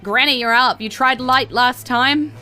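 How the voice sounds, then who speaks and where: Female game master, New Zealand accent. Bright, clear-cut voice, conversational improvised storytelling over a faint music bed. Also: high-pitched, unhurried. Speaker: dungeon master of a tabletop game podcast. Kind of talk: improvised game narration and casual table talk.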